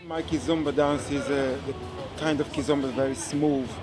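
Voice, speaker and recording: A man from Angola, a kizomba teacher speaking haltingly in an interview, searching for his words. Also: on the high side, fairly even intonation. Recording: music and crowd behind.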